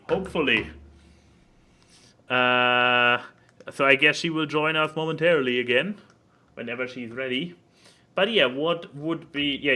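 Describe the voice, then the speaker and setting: Bright-voiced man chatting on a podcast. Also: mid-range voice. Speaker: podcast co-host. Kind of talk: casual podcast chat. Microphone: online voice call.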